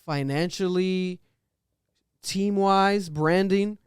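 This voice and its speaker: Podcast co-host, male, fairly high voice, smooth tone; loose, joking, animated talk. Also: no room sound, studio quality. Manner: animated, laughing, quick asides. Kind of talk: casual podcast chat.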